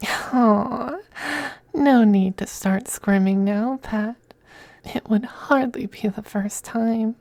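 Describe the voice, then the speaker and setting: A man playing a possessive, teasing femboy vampire lover in an ASMR roleplay, speaking in soft, languid, condescending half-whispers. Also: sits high, takes it slowly, creaky, no room sound, full character voice. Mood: seductive, possessive.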